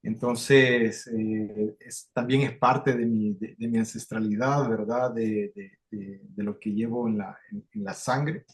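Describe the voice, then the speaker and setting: A man's voice: on the low side, steady tone, slow pace. Hesitant Salvadoran man on a call. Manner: hesitant, many restarts and fillers. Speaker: guest, community facilitator. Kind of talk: video call interview. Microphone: video call.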